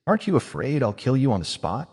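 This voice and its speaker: A man's voice: medium pitch, big pitch swings, fast, darkish tone, husky, big-room sound, pitched above the performer's own voice. A man voicing a guarded, blunt young male mage who speaks dryly and confrontationally.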